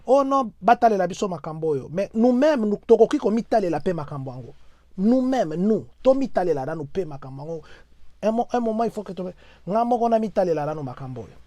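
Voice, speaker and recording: Middle-aged man, Congolese French accent, fairly high voice, dry close sound; impassioned civic speaker who repeats rallying phrases. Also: animated, a little fast, mellow, no room sound. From Democratic Republic of the Congo. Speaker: community speaker addressing compatriots. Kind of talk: motivational civic address. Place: United States.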